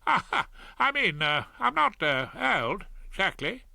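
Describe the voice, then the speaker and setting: A man's voice: high voice, big pitch swings, measured pace, vocal fry, pushed and bright, no echo, pitched above the performer's own voice, exaggerated voice. A man voicing a pompous, easily flustered middle-aged barrister, stammering and hemming.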